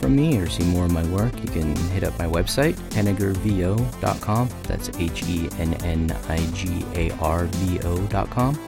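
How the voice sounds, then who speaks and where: A man with a deep, low-pitched voice talks casually as a voice actor introducing himself. Music plays clearly behind him.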